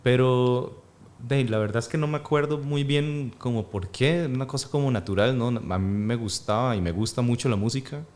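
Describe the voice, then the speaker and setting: A man in his thirties, born in Peru and speaking with a Costa Rican accent, talks thoughtfully as a guest, with many pauses and fillers. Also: medium pitch, a little mellow. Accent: Costa Rican Spanish. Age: 30s. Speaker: actor and guest. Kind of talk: casual interview.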